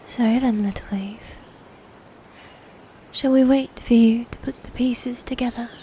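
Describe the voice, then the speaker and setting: A woman playing a sadistic, taunting medieval knight in a dark fantasy ASMR roleplay, mocking and formal with breathy whispers. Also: on the high side, steady tone, slight background noise, close to an everyday voice. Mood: smug, taunting. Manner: slow, mocking, formal old-fashioned speech with breathy whispers.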